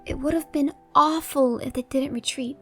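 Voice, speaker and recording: A woman's voice: sits high, fairly even intonation, moderate pace, a bit bright, faint music behind. A woman playing an ageless, worldly shaman with a teasing, theatrical manner in a horror-comedy audio drama. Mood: breezy, assertive.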